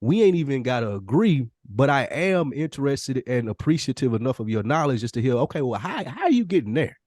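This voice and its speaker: Animated, opinionated man in casual chat. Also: mid-range voice, a little fast, dry recording. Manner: opinionated, profane, emphatic. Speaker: podcast co-host.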